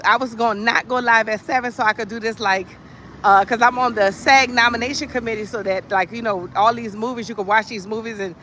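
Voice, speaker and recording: An American livestream host chatting casually and telling comic stories in an animated, sassy, emphatic way, with conversational asides. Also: mid-range voice, slight background noise. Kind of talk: casual chat with comic storytelling.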